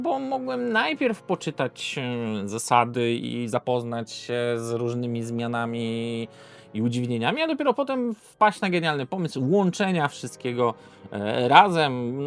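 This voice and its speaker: A man's voice: medium pitch, lively intonation, normal speed, creaky, faint music behind. A Polish man presenting an informal, unscripted board game video review, hesitating and often correcting himself as he goes.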